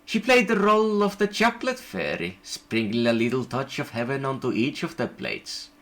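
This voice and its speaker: Man, storybook narrator, mid-pitched voice, gentle even reading. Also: moderate pace.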